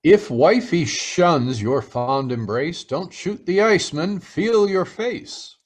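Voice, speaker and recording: A man announces in old-time radio style, reading scripted copy like a showman. His voice is fairly high and very animated, and he takes his time. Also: slow. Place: live remote video-call performance.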